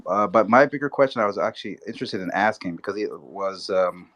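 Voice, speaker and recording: A man joining a live discussion as a participant, talking casually in a rambling, halting way. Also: on the low side, a bit bright. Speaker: audience participant.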